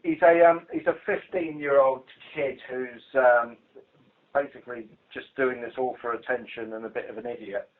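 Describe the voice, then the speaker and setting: A man's voice: mid-range voice, fairly even intonation, moderate pace. British guy on a tinny phone line, informal work briefing, dry and blunt, kinda hesitant. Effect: telephone line. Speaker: police cybercrime investigator. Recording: muffled phone conference call recording.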